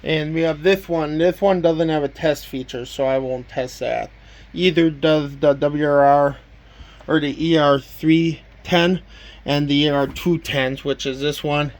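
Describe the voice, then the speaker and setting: Slightly high-voiced man giving casual show-and-tell. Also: fairly even intonation, a little slow. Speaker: collector presenting a weather radio collection.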